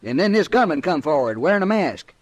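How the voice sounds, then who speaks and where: High-pitched, blustering storekeeper. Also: male voice, very animated, brisk, no room sound. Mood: agitated recounting. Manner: blustering, insistent. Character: indignant, vindictive small-town western storekeeper. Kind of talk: old-time radio western acting.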